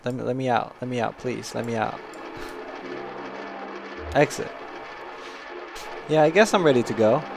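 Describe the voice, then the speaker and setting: Gravelly-voiced man streaming a game. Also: mid-range voice, normal speed, long pauses, music in the background. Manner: chatty, laughs at own jokes, reads game text in a half-acting voice. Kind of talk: casual game playthrough, reading dialogue aloud.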